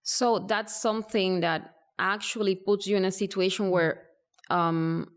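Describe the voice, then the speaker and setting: A woman doctor from Rico, answering interview questions thoughtfully with many pauses and fillers. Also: medium pitch, fairly even intonation. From Puerto Rico. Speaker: primary care doctor.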